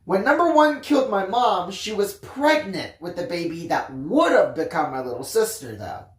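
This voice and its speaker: A woman reading a first-person story aloud in an expressive, dramatic narrating style. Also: medium pitch, normal speed, reverberant. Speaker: audiobook reader.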